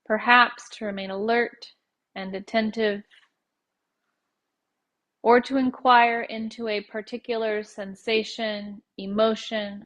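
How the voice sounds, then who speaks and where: Female meditation guide, calm and measured, very level mid-range pitch, unhurried phrasing with pauses.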